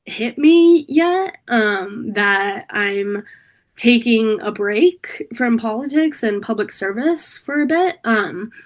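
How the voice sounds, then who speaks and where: A middle-aged woman from California, slightly hoarse from a cold, talking reflectively in a casual interview, with many pauses and restarts. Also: on the high side, expressive. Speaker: interview guest, political staffer.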